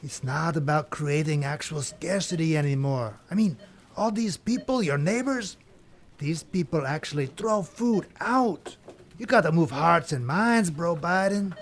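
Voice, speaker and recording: A man playing War as a slick, cocky bro in a comedic audio drama, coaching in slangy talk with a gravelly edge. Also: on the high side, expressive, a little slow. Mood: persuasive.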